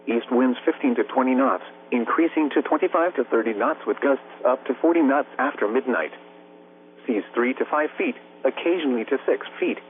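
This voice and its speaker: A synthetic-sounding American man reads a scripted weather bulletin as a radio announcer, in a formal, impersonal, measured way. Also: mid-range voice. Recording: narrow-band weather radio broadcast, faint hum.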